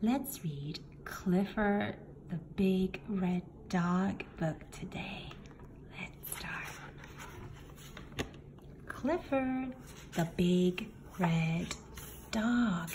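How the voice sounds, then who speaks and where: Very slow-paced woman reading to children. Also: fairly low pitch. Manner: deliberate, simple phrasing with pauses between phrases. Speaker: children's story reader. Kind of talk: picture book read-aloud for young children. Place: indoor room, book filmed on a table. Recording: faint room noise behind the voice.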